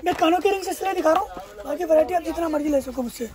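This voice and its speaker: High-voiced fast-talking shopkeeper man. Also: a little mellow, a little hiss or hum. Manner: emphatic, repeats prices and wholesale-only terms. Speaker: wholesale shopkeeper. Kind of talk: rapid sales pitch listing prices. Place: crowded indoor market shop.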